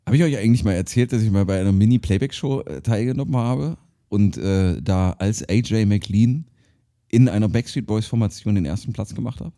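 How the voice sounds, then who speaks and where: A man's voice: on the low side, fairly quick. A man in his thirties, co-hosting a casual podcast, telling jokey stories about music. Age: 30s. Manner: animated storyteller, jokey. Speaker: podcast co-host.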